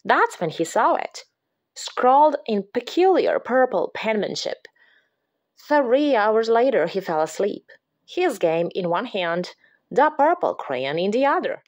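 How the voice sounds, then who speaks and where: A mother reads a spooky picture book aloud at an unhurried pace. Her pitch rises and falls with lively expression. Now and then she slips into a theatrical whisper. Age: adult. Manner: dramatic, drops to whispers for creepy moments. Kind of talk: expressive read-aloud storytelling.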